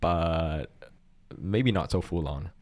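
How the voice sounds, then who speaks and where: A middle-aged Singaporean man with a deep, low voice talks earnestly on a podcast. He thinks aloud, restarting phrases as he searches for the right word. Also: slow. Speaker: podcast co-host. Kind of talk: casual chat.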